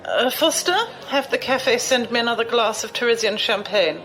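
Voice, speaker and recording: A woman's voice: a little high, moderate pace, a bit bright, faint music behind, rough recording. A woman acting an imperious, demanding aristocratic executive in an audio drama, curt and haughty. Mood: imperious, impatient.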